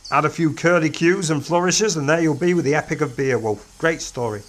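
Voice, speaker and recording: A man's voice: fairly high pitch, a little fast, close to an everyday voice. A reader voicing a blunt, dry-humoured male time-traveller who talks in a casual, clipped way.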